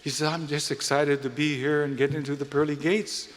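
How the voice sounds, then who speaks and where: A man with a Hawaiian accent addresses guests as the host of an evening event. His voice sits a little high, and his delivery is folksy, with repeated words and small halts. The sound carries the long reverb of a large venue. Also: level tone, a little fast. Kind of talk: live welcome speech with jokes and introductions.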